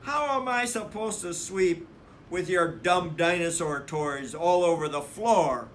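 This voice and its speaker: Storybook sister bear cub, read by a man: bossy, fed up, argumentative, pitched high, with audible background noise. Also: slow pace. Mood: exasperated arguing. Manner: argumentative, shouting.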